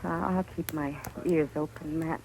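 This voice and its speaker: A woman's voice: sits low, steady tone, unhurried, creaky. An American actress playing a frank, unafraid frontier woman, direct and tough, in 1950s radio drama style. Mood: confiding. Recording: noisy old radio recording.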